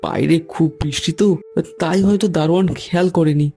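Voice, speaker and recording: Guy doing a servile restaurant manager in a cartoon, high mellow voice, flustered and super apologetic. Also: gravelly. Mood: anxious, apologetic. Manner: hurried, apologetic, deferential. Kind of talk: cartoon voice acting.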